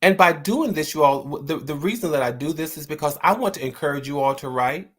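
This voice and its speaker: A man talking earnestly to his viewers about writing and then reading one of his own poems aloud in a slow, measured way. He encourages his listeners and often repeats words while he searches for the right ones. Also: on the high side, lively intonation, fairly bright tone. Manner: slow, measured recital when reading the poem. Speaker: poet and channel host.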